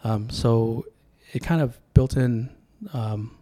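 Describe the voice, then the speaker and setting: A man's voice: medium pitch, fairly even intonation, takes it slowly, dark tone. A man from Sacramento, California, whose first language was Tongan, talking as a podcast guest in a reflective, storytelling way. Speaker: podcast guest, political candidate. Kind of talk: casual podcast conversation.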